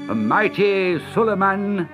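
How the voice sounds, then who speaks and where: A man's voice: sits high, sing-song, slow, lo-fi sound, over music, voice pushed up, heavily acted. A man voicing a commanding storybook sea captain with a hearty, formal manner. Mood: flattering. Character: commanding, flattering male sea captain and merchant. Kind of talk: storybook character voice.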